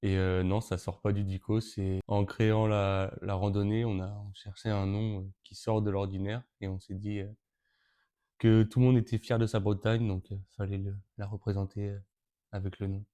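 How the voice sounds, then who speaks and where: Young man from Brittany, late teens, flat low delivery, halting with many restarts. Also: clear, resonant tone. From Brittany, France. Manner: hesitant, frequent fillers and restarts. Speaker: interviewee, event co-organizer. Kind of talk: casual interview answers.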